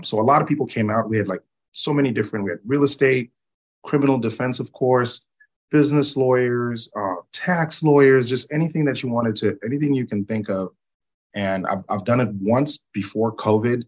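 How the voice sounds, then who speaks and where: A man from Zambia with a notably deep, low voice, speaking as a guest on an interview. He explains legal matters in a measured, patient way, often pausing to check in with "right?" and "you know.". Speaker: personal injury attorney.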